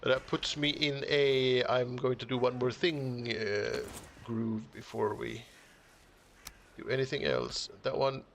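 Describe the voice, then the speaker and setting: A man's voice: medium pitch, slow, creaky, fairly bright tone, slight background noise. A man streaming a building game, chatting casually as he works and often chuckling, musing half to himself. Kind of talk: casual live-stream commentary while building.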